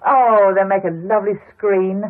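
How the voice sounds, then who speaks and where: An actress playing a gushing holidaymaker wife who can turn snappish, in a radio drama. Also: female voice, mid-range voice, steady tone, moderate pace, close to an everyday voice. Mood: gushing, polite. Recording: narrow-band old radio broadcast.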